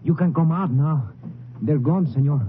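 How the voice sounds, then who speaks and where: A man's voice: a little high, moderate pace, natural voice. An actor playing a timid Mexican man in a western radio drama, stammering and deferential, with a Mexican accent. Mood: frightened pleading. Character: frightened, submissive Mexican man living alone in shack. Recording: tinny, faintly noisy old radio recording.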